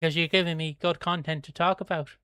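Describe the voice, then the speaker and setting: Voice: medium pitch, fairly even intonation, brisk, a bit bright, smooth, no echo, studio quality. An Irish host from Kildare chatting casually on a livestream, rambling, swearing and laughing while reading viewer comments. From Ireland. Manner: plugs merch in a breezy, offhand way.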